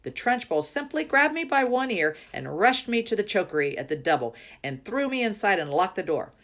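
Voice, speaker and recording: A woman's voice: medium pitch, big pitch swings, brisk, a bit bright, pitched above the performer's own voice. Woman playing a feisty, theatrical schoolgirl. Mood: aggrieved, dramatic. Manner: emphatic, theatrical, boastful. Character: feisty older schoolgirl, dramatic battle-worn storyteller.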